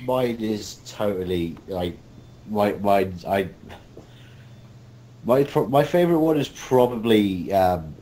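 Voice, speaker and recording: A man's voice: expressive, slow, long pauses, warm tone. A man in a casual group chat as an interviewed voice actor, talking in a rambling, jokey way over an online call. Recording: rumbly, noisy online call.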